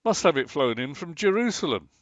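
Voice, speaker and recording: Man acting sarcastic grumbling husband, high animated voice. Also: a little fast, dry recording. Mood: indignant. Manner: sardonic, exclamatory. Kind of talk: radio play acting. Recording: dry, slightly dull lo-fi sound.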